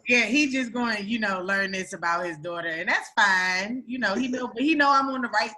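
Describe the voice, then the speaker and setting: A woman born in Greece chatting casually on a podcast, animated, laughing often and swearing freely. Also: medium pitch, normal speed.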